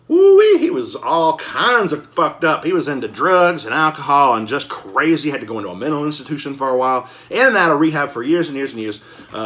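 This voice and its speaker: A man chatting casually and enthusiastically as host of a collecting show, with an excitable, slangy, folksy manner full of exclamations. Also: medium pitch. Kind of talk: casual enthusiastic vlog chat.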